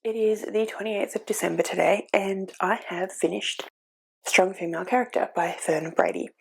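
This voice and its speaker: A woman's voice: mid-range voice, moderate pace, vocal fry, slightly rough, rough recording. A woman with a cold, sniffly as she chats earnestly about a book she has just finished on her reading vlog. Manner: earnest, thinking aloud with fillers. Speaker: book vlogger. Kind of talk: casual reading vlog chat.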